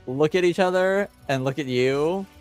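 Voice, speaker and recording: A man narrating an improvised tabletop roleplaying game as game master, halting as he searches for words. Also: on the high side, darkish tone, clean tone, faint music behind.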